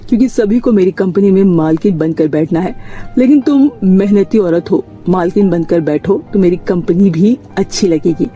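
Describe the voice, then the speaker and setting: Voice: medium pitch, normal speed, warm tone, faint music behind, natural voice. A performer voicing an appreciative male company owner in a cartoon story, earnest and formal. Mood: earnest, pleading.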